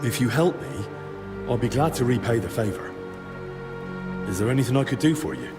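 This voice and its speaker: An actor playing a plain-spoken, persistent young adventurer in a video game, with a frank, wry and informal manner. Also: male voice, medium pitch, expressive, a little fast, lightly acted. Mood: persuading. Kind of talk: video game cutscene acting. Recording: reverberant, clear music behind.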